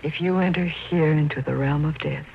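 An actress playing a frightened wife in an old radio drama, with an anxious, dramatic delivery. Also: female voice, low-pitched, fairly even intonation, normal speed, gravelly. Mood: uneasy. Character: frightened wife fleeing a sinister stranger. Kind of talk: 1940s radio drama acting. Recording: dull, noisy old radio recording.

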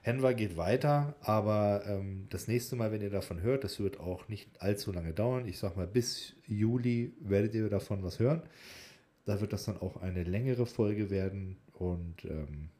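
A man's voice: fairly low pitch, measured pace, warm tone. A man co-hosting a podcast in casual banter, with a jokey, teasing way of talking. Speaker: podcast co-host.

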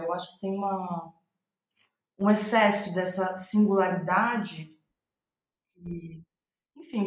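Thoughtful Brazilian woman in an echoey room. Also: medium pitch. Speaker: playwright being interviewed. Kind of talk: reflective podcast conversation. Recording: roomy, echoing sound.

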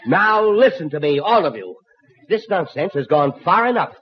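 Excitable, high-pitched exasperated radio dad. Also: male voice, normal speed, a little hiss or hum. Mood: indignant. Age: middle-aged. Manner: excitable, indignant. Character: exasperated, blustering middle-aged father of a family. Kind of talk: 1940s radio comedy acting. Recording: muffled 1940s radio broadcast, audience behind.

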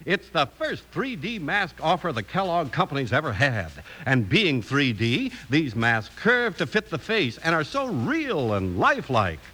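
An American man working as an announcer, delivering 1950s radio advertising with emphatic, excited salesmanship. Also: fairly high pitch, unhurried, few pauses, breathy edge.

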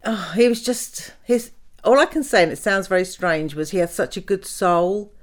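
An older woman, telling the story of her life in a casual interview, with soft laughs and fillers. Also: medium pitch, moderate pace. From Canada. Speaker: guest, host's mother.

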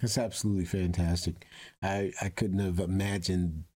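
A man interviewing a guest conversationally, speaking in an encouraging, deliberate way. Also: low voice, little pitch movement, mellow, slightly rough.

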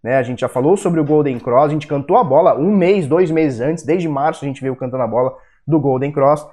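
A man's voice: fairly high pitch, brisk, a little mellow, breathy edge. Blunt Brazilian man ranting about markets. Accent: Brazilian Portuguese. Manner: blunt and profane, with heated rants. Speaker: crypto video host. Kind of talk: casual market analysis talk.

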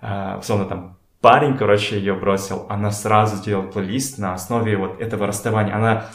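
A man talking casually as a podcast guest, telling things in a halting, slangy way and often repeating words. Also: on the low side, steady tone. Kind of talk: casual conversational storytelling. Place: in a room at a home. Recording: echoey room.

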